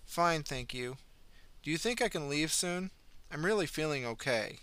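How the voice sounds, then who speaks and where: A man's voice: on the high side, animated, normal speed, a bit bright, smooth, no room sound, voice pushed up. A man voicing a weary young mother in a hospital bed, tense and defensive in her clipped replies. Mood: insistent.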